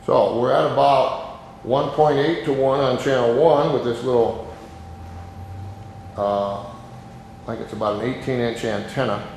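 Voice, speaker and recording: A man's voice: medium pitch, steady tone, unhurried, pauses often, a little mellow. A man giving an informal, plain-spoken, step-by-step hands-on demonstration as an instructor. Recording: very echoey reverberant room, background noise.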